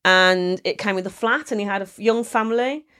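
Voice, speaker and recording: A woman from the English West Midlands chatting casually as a comedian, telling stories in an animated, sweary way. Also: medium pitch, a little fast. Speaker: stand-up comedian being interviewed. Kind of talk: casual chat with anecdotes.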